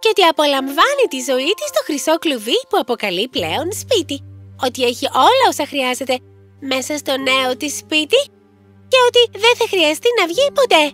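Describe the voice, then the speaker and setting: A woman's voice: high-pitched, sing-song, fairly bright tone. A woman voicing a proud, righteous fairy who speaks pointedly in a cartoon fairy tale. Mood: pointedly polite. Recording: music under the voice.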